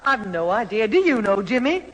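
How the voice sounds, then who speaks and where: A woman's voice: a little high, moderate pace. A woman playing an exasperated, scolding mother in a radio sitcom. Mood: puzzled. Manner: scolding, animated. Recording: reverberant old radio studio recording.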